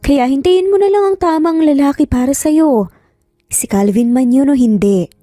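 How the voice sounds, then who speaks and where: A woman voicing a gentle, reassuring middle-aged mother in an audio story. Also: sits high, moderate pace, no echo, clean studio sound, soft background music, voice pushed up, close to an everyday voice. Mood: comforting.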